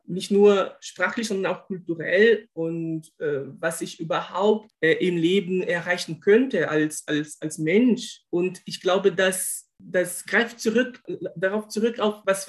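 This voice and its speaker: Man speaking German, high voice. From Brazil. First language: Portuguese. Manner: thoughtful, searching for words, frequent restarts. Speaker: guest, linguist and German teacher. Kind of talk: conversational interview answer.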